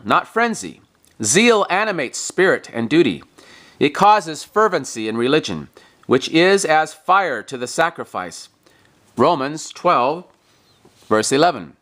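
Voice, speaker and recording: A man reading a religious text aloud like a preacher, in deliberate, emphatic, declamatory phrasing. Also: on the high side, fairly bright tone. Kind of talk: scripted devotional reading, sermon-like.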